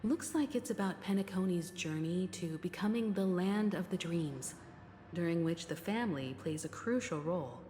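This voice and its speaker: A woman voicing a composed, thoughtful traveling companion in a video game, calm and analytical. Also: fairly low pitch, moderate pace, a little hiss or hum, close to an everyday voice. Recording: hall reverb with faint music.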